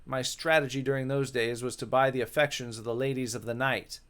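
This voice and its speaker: A man's voice: mid-range voice, steady tone, brisk, a bit bright, clean tone, lightly acted. A man voicing an old-fashioned male ghost, flustered and lovesick, with theatrical old-timey phrasing, for a visual novel. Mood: boastful.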